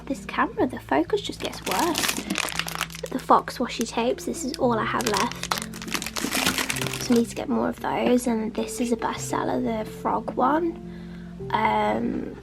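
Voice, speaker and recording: A young woman with a cold talks casually in a soft, half-whispered, rambling way from her small home art studio. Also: on the high side, gravelly. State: sniffly with a cold. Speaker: artist vlogger. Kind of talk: casual studio vlog chat.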